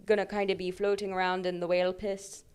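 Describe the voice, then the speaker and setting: A woman voicing an elderly male Swiss Ouija board expert in an improvised comedy bit. She speaks in broken English, drops articles, and keeps a mock-earnest deadpan. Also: fairly low pitch, quick pace, clear, resonant tone, lightly acted.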